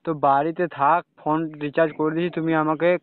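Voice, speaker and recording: Young male caller, slightly high voice, casual and questioning, on a dry lo-fi phone recording. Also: little pitch movement, quick pace, slight background noise. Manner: repeatedly checking with questioning tags. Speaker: caller talking to a romantic partner. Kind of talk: casual private phone conversation. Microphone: phone call. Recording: dull, lo-fi phone call recording.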